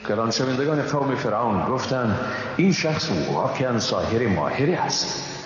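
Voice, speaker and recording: A man with an Afghan Dari accent reading a scripture translation in a measured, even, solemn way. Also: medium pitch. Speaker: translation reader. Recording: echoey hall, dull sound, background noise.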